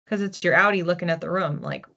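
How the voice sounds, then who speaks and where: A woman's voice: fairly low pitch, quick pace, vocal fry, bright, forceful tone. A woman giving a psychic reading, musing aloud and often repeating words as she searches for what comes through. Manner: hesitant, repeats words while searching for images. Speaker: psychic reader. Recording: dull lo-fi recording.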